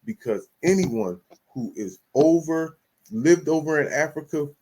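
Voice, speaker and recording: Blunt, husky-voiced male commentator. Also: on the high side, lively intonation, unhurried, warm tone, poor audio. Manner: blunt, slangy, profane, emphatic. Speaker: commentator reacting to a clip. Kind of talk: casual reaction commentary.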